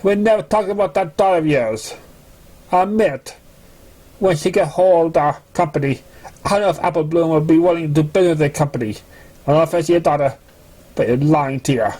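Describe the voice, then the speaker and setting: A man's voice: a little high, brisk, darkish tone, lightly acted. A man voicing a blunt, honest country farm pony with a frank, folksy manner. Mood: reproachful.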